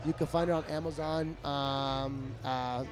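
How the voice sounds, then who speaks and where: A man who is a dance guest, chatting casually and quickly with plenty of fillers, recorded outdoors at an event. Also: medium pitch. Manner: quick, energetic, lots of fillers. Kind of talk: casual interview chat.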